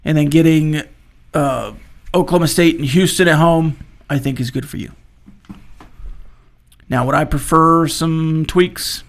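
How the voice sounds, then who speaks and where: Sports talk co-host: man, slightly high voice, lively and chatty. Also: a little slow, pauses often. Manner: animated, rattles off schedules, banter. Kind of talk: casual sports chat.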